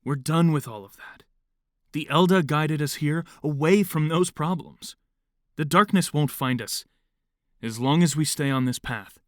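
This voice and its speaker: A man's voice: fairly high pitch, a little slow, no room sound, close to an everyday voice. Man as curt, impatient commune leader, dry sound. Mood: weary impatience. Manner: curt, no-nonsense. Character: stern, impatient male leader of a secluded commune. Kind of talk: audio drama acting.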